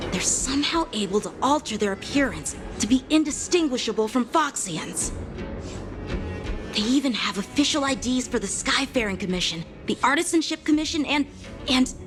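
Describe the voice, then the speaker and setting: An actress playing an alert, earnest young heroine in a video game. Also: female voice, a little high, lightly acted. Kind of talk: dramatic video game acting. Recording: music behind the voice.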